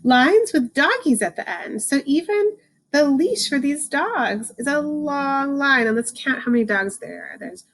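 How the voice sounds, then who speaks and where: A woman reading a picture book aloud to children, adding informal explanations and slowing down for the book's lines. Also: high-pitched, lively intonation, a bit bright, slight background noise. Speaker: read-aloud host, teacher for children.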